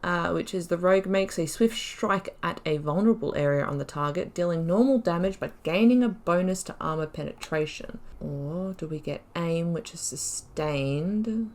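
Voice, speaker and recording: A woman's voice: lowish voice, moderate pace, hardly any pauses, a little mellow. A woman giving casual let's play commentary, chatty and musing, thinking aloud as she plays. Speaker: gaming YouTuber playing through a role-playing game.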